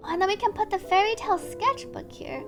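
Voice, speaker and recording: Very high-pitched woman streaming a game. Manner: reads story text slowly, then chatty asides. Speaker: streamer, VTuber. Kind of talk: game livestream commentary, reading on-screen text aloud. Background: game music.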